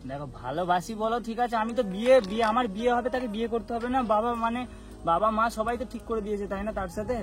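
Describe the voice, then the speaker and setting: A man's voice: high voice, quick pace. A man talks casually but seriously, pressing his points with repeated rhetorical questions. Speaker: prank vlogger. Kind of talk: staged serious phone conversation, casual. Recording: loud background music. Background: music.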